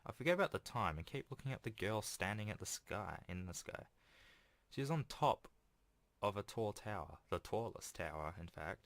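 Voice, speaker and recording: A man's voice: on the low side, expressive, normal speed, fairly bright tone, dry recording. A man hosting a video game playthrough, reading a visual novel aloud with casual, self-deprecating asides. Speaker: let's play host.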